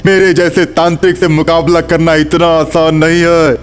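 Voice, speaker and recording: A man playing a wrathful, boastful sorcerer who taunts his foe in an animated horror story. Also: a little high, normal speed, clean tone, faint music behind, lightly acted. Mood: furious, taunting. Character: wrathful, mocking male sorcerer threatening a priest.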